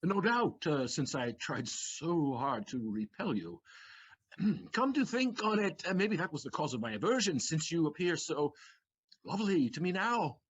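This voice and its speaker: An actor playing an emotional, witty young manservant in an audio play, pleading and theatrical. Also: male voice, a little high, big pitch swings, a little slow, breathy edge, exaggerated voice. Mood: wry. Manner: pleading, theatrical, laughs through tears. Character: emotional young male servant, witty and excitable.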